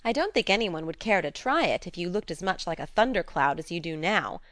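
A woman voicing a spirited, sensible teenage girl in an audiobook, brisk and coaxing. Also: lowish voice, lively intonation, a bit bright, no echo, studio quality. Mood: soothing, coaxing. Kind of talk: audiobook character reading.

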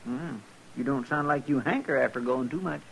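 An actor playing a chatty, eager frontier sidekick with an American rural drawl in a 1950s radio western. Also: male voice, mid-range voice, moderate pace, gravelly, fairly bright tone, slightly rough, poor audio. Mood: puzzled, friendly. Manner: folksy, chattering, drawn to gossip. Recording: old radio recording with background noise.

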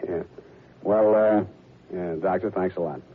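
Radio noir: blunt, world-weary American gumshoe, lowish pitch, muffled old recording. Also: male voice, fairly even intonation, measured pace, husky. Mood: wry, brusque. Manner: clipped, wry, world-weary. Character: hard-boiled private detective, blunt, wry, impatient man. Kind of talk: 1940s radio detective acting. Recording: dull, noisy old radio recording with rumble.

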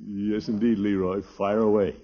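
An actor playing a pompous middle-aged American uncle with a blustery, chuckling manner in a 1950s radio sitcom. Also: male voice, lowish voice, little pitch movement, close to an everyday voice. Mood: jovial. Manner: chuckling, blustery, drawn-out exclamations. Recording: dull vintage 1950s radio recording.

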